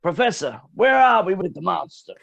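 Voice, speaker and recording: Blustering male general man acting for radio spoof: gravelly, very animated, blunt and gung-ho. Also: sits high, normal speed, dry recording, lo-fi sound, exaggerated voice. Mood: blunt, sardonic. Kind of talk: B-movie parody radio comedy.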